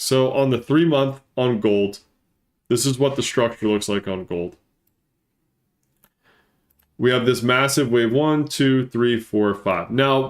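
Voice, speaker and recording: A man with a congested, stuffy-nosed voice, explaining things casually as a live-stream market analyst and repeating points for emphasis. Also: mid-range voice. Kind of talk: casual live chart commentary and trading lesson.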